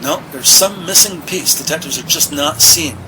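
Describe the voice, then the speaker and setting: An actor narrating a police procedural audio drama as a measured, factual male storyteller. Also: fairly high pitch, background noise, close to an everyday voice.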